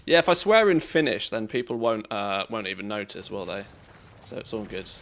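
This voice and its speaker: A man with an English accent giving relaxed, chatty commentary on a live game stream, reacting to play and greeting viewers. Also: on the low side, normal speed, vocal fry. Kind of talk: casual live-stream commentary while gaming.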